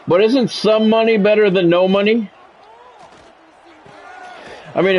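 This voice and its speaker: A man livestreaming a game, mixing casual, opinionated chat with sports play-by-play commentary. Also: fairly high pitch. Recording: dull lo-fi audio, music behind. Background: music.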